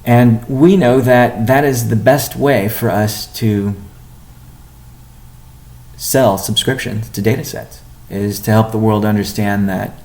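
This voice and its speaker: A man giving a company update on a conference call as its chief executive, speaking in a measured, halting way with deliberate pauses. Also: lowish voice, fairly even intonation, a little mellow. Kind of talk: business conference call presentation.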